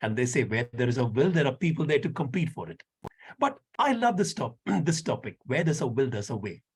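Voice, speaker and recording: Man, husky voice, lively pitch, slight stammer, candid and wry. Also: fairly quick. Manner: candid, lightly humorous. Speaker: impromptu speaker. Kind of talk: impromptu speech.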